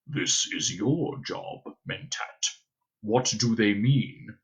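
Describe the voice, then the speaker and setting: A man's voice: sits high, sing-song, moderate pace, sharp tone, pitched above the performer's own voice, heavily acted, low-quality recording. A male narrator voicing a scheming, heavyset Baron who blusters, barks and drops to cold whispers. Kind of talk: audiobook character voice.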